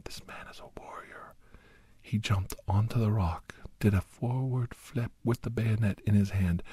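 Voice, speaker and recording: A man voicing a doctor who recounts a harrowing battle in halting, intense phrases, in a breathy near-whisper, in an ASMR story. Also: low voice, a little mellow, no echo, exaggerated voice. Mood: awed, intense. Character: doctor recounting a harrowing battle beside his young ward. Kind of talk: dramatic first-person ASMR storytelling.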